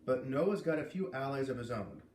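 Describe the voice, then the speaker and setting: Man, read-aloud narrator, expressive storybook delivery, explanatory asides, echoey room, background noise. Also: mid-range voice. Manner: pauses to explain and comment on the story. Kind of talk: expressive storybook reading with commentary.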